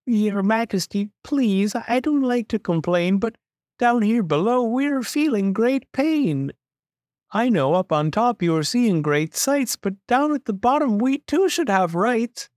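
A man's voice: sits high, expressive, unhurried, a little mellow, no echo, clean studio sound, pitched above the performer's own voice. A man voicing a small, meek, long-suffering turtle underling in a storybook, pleading politely and plaintively. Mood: pained pleading. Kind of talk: storybook character voice.

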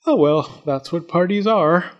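A man's voice: on the high side, highs cut off, pitched above the performer's own voice, lightly acted. A man voicing a polite, greedy giant moth. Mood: unbothered. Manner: slow, drawn-out and courteous.

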